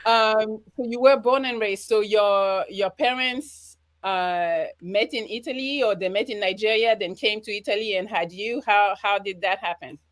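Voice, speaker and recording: A woman hosting a dating show, asking questions in a halting way full of fillers. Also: medium pitch, no room sound. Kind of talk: casual interview.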